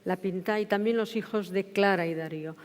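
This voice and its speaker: A woman's voice: mid-range voice, a little fast, a little mellow. Woman presenting in a reverberant hall. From Spain. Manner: repeats and corrects herself. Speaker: presenter of a book event. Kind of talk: conversational literary presentation. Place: large theatre, indoors.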